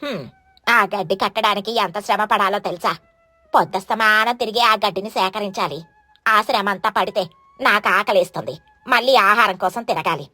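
A woman puts on a lazy mother crow from a children's cartoon. She grumbles and scolds with open scorn, in a lowered voice. The sound is dry, with music underneath. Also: moderate pace, sharp tone, dry recording. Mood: irritated, lazy. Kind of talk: animated children's moral story.

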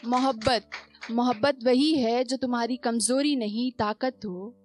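Young woman, high voice, spoken word poem, stand mic on a small stage, repeated lines, faint music behind. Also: fairly even intonation. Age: young adult. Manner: dramatic repetitions, rising on questions, soft slow refrains. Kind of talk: spoken word poetry performance. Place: small stage in a performance venue.

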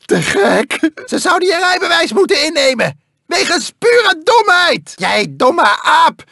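A manic cyborg mad scientist from a cartoon video game, whiny and vengeful. The voice is shrill and very high, swooping wildly from mutters to shrieks. It has a gravelly crackle and theatrical villainy. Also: male voice, big pitch swings, measured pace, sharp tone, no room sound, studio quality, exaggerated voice. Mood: agitated, threatening.

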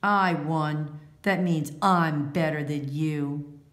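A woman's voice: deep voice, fairly even intonation, slow, echoey room. A woman voicing a boastful, taunting young boy in a children's picture-book read-aloud.

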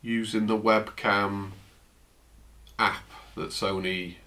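Man, fifties, low voice, croaky from flu, relaxed chatty unboxing, camera's built-in mic. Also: slow pace. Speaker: tech vlogger. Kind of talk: casual unboxing vlog. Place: home office, indoors, seated at a desk.

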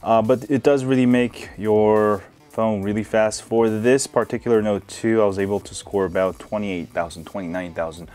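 A man hosting a casual hands-on phone review, relaxed and full of filler words, recorded indoors at a desk. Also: fairly low pitch, moderate pace, few pauses. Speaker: tech video host.

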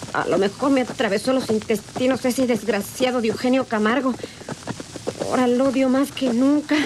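High-voiced wounded young heroine, noisy. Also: female voice. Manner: melodramatic, suffering. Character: wounded young woman, resolute, bitter, struggling onward. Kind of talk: old Spanish-language radionovela monologue. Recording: noisy old radio drama with clicks.

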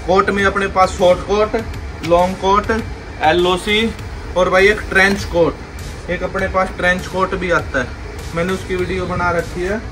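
A young man with a slightly raised voice rattles off a pushy, repetitive sales patter. He is filmed on a handheld phone inside a large clothing warehouse. Music plays clearly behind him. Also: high-pitched, flat delivery, normal speed, low-quality recording. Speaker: wholesale clothing seller. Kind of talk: sales pitch video.

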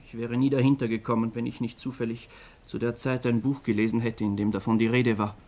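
An actor playing a troubled, ashamed teenage son in a German radio crime drama, speaking earnestly and hesitantly, as if confessing. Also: male voice, medium pitch, level tone, quick pace, darkish tone, natural voice. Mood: bitter confession.

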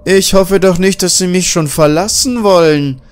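A man's voice: sits high, moderate pace, voice pushed up, natural voice. A man doing a mocking mad doctor. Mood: menacing polite. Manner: smooth, mocking courtesy. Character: polite, cynical male mad-scientist doctor. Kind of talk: audiobook character reading.

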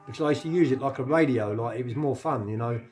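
A man's voice: mid-range voice, fast talker, a little mellow, faint music behind. A middle-aged man with a London accent telling a casual anecdote to camera, colloquial and sweary, often repeating his phrases. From England. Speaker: vlogger telling stories of his past. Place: living room at home.

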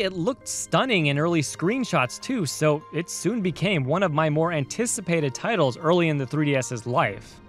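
Guy from the us doing a video game essay voiceover, kinda high voice, chatty and into it, faint music under. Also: fairly bright tone. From United States. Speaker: video game reviewer and host. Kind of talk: scripted video essay narration. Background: music behind the voice.

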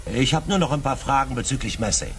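A man's voice: mid-range voice, lively intonation, quick pace, a bit bright, slight background noise. An actor playing a probing, insistent male chief police inspector in a German radio crime drama.

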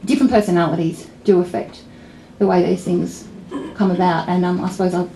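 A woman's voice: lowish voice, steady tone, fairly quick, mellow. A middle-aged Australian woman, a political journalist giving a talk at a pub event, thoughtful and wry, with many fillers and restarts, speaking into a stand mic. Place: pub function room with audience. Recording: roomy venue, faint ambient noise.

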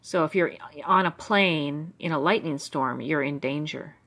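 Low-voiced woman musing over old journals. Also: measured pace, a bit bright. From United States. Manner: musing, stream of consciousness, many fillers. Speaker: vlogger reading her old journals. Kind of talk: rambling personal commentary.

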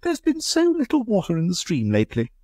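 Guy doing a fussy anxious wife, goes way up high, husky, dry close sound. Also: wildly swooping pitch, brisk, a little mellow, no echo, exaggerated voice. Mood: fretful. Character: prim anxious genteel young wife.

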